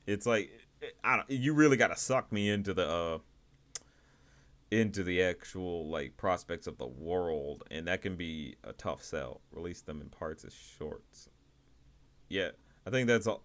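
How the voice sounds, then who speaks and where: Low-pitched male voice, livestream host, casual rambling commentary, halting, circles back over phrases. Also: fairly bright tone. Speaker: livestream host reviewing indie animation.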